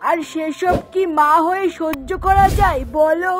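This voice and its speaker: A woman's voice: extremely high pitch, a little slow. A woman voicing an exasperated middle-aged mother in a cartoon, complaining and scolding with emphasis. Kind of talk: Bengali cartoon voice acting. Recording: music behind the voice.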